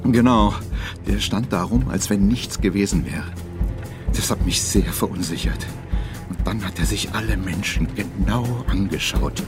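An actor playing a shaken male eyewitness in a German radio drama, halting and subdued as he recounts what he saw. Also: sits low, over music. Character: shaken male eyewitness giving a hesitant account.

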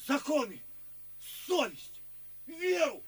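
An actor playing an effusive, foolish socialite in a radio verse comedy, rambling in melodramatic exclamations. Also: male voice, very high voice, very animated, takes it slowly, exaggerated voice. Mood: boastful confession. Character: effusive foolish tipsy socialite, self-abasing braggart.

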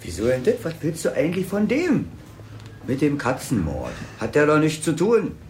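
Casting: teasing, sceptical policeman, slightly high and animated, dry humour, radio drama. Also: normal speed, slight background noise. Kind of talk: German radio crime drama acting.

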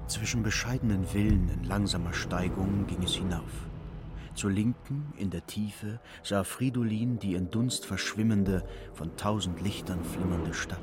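A man's voice: low voice, a little slow, very noisy. A man narrating a literary radio play from a written text.

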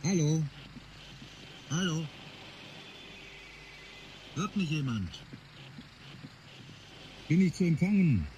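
An actor in a radio play voicing a desperate survivor calling out, with drawn-out, pleading calls. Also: medium pitch, very animated, mellow, a little hiss or hum, rough recording. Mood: anxious, searching.

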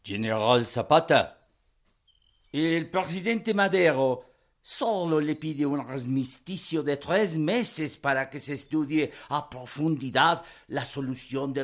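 Slow-spoken, deferential French envoy. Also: male voice, medium pitch. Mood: formal. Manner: measured, deliberate persuasion. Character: polite, cautious French male journalist envoy, pleading. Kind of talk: radio drama acting.

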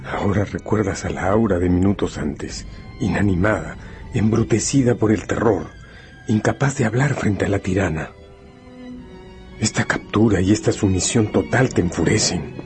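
A man narrating a radio novel in a solemn, deliberate, dramatic second-person style. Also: lowish voice, a little mellow. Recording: music bed under the voice. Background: music.

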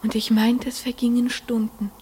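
An actress playing a dreamy, trusting young girl in a radio play, recounting and acting out memories in a soft, breathy voice. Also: on the high side, little pitch movement, normal speed.

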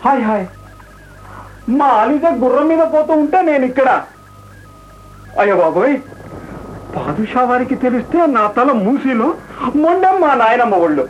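High-pitched fawning comic royal attendant. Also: male voice, big pitch swings, normal speed, long pauses. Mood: flustered. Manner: exclamatory, theatrical, mock-pleading. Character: garrulous fawning comic male attendant to a prince. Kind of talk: radio play acting. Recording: muffled noisy old radio recording.

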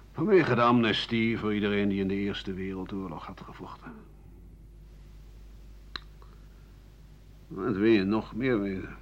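An actor playing a bitter, cynical old French doctor and writer in a radio play, rambling and scornful with muttered asides. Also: male voice, fairly low pitch. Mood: defiant, bitter. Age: older. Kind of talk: radio play monologue in interview form. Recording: muffled, noisy 1980 radio drama recording.

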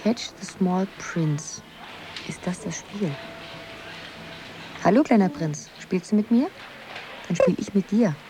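A woman's voice: mid-range voice, lively intonation, slow, long pauses. An actress playing a dreamy, playful young woman who is searching, in a poetic radio drama monologue. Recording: loud music or noisy ambience behind.

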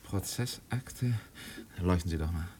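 Deep-voiced brooding male detective. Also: a little slow, darkish tone, slight background noise. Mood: musing, intent. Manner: muttering, musing aloud. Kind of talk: 1950s radio play acting.

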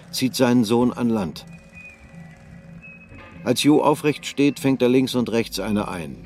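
A man with a fairly low voice narrates a literary radio play, measured and unhurried. Faint music sits under his voice. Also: darkish tone.

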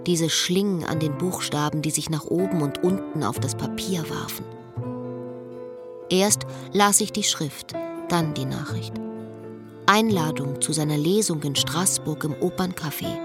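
An actress playing a wistful, guarded middle-aged woman who confides in measured, lyrical lines of a radio drama. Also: deep voice. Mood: wistful, reflective. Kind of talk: literary radio drama, first-person monologue and dialogue. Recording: music behind the voice. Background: music.

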